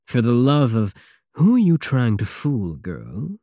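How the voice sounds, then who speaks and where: A man's voice: medium pitch, huge pitch swings, warm tone, no echo, studio quality. A man playing a big, teasing, possessive space pirate king in an intimate, sardonic ASMR roleplay. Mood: cocky, teasing. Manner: slow, teasing, purring endearments, sardonic.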